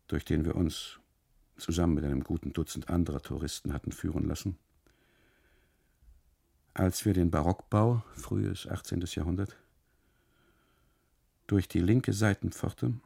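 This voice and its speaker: An actor playing an anxious, obsessive husband on holiday in a literary radio monologue, speaking in halting fragments with insistent repetition and a gravelly, husky voice. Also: male voice, low-pitched, steady tone, warm tone, no room sound, clean studio sound, heavily acted. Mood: uneasy, recounting. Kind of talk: literary radio monologue, 1980s German radio drama.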